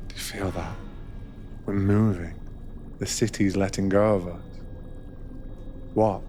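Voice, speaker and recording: Male, low-pitched and very slow, for gentle ASMR roleplay as a soothing train attendant. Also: fairly even intonation, slight background noise. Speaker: narrator playing a sleeper train attendant. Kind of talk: ASMR guided meditation roleplay, scripted narration.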